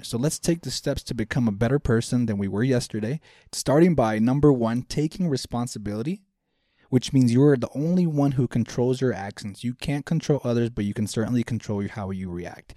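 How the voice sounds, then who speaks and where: Podcast host, male, thirties, warm mellow tone, dry close sound, sincere motivational delivery. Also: medium pitch, dry recording. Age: 30s. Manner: earnest, repetitive, speaks straight to listeners. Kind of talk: motivational self-help talk.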